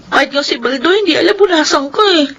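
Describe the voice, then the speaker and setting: High-pitched, flustered actress as an anxious sweetheart. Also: female voice, lively intonation, fast, a bit bright, lo-fi sound. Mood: confessing, worried. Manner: flustered, placating. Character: anxious, evasive young sweetheart making excuses. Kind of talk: audio soap drama acting.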